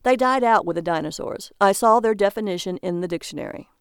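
A woman voicing a childish, excitable, defensive husband in a story, protesting earnestly. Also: medium pitch, brisk, clean tone, no echo, clean studio sound, natural voice.

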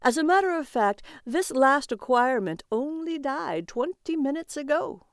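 A woman voicing a formal, sarcastic auctioneer of souls in an audiobook, announcing in a drawn-out way. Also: high voice, animated, no echo, clean studio sound, voice pushed up.

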